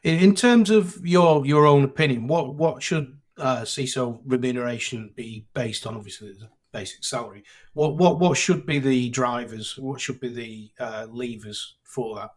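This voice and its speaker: Halting, casual podcast host, man. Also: mid-range voice. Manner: casual, halting, repeats words. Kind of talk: podcast interview.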